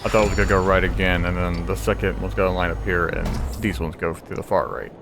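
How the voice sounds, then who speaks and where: A man's voice: low-pitched, fast talker, creaky, background noise. A man giving casual game commentary, thinking aloud and muttering playful asides to himself as he plays. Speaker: gamer streaming a playthrough. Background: game music.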